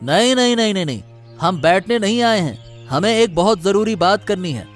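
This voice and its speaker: A man's voice: sits high, expressive, moderate pace, soft background music. Greedy village conspirator voiced by a man. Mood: threatening.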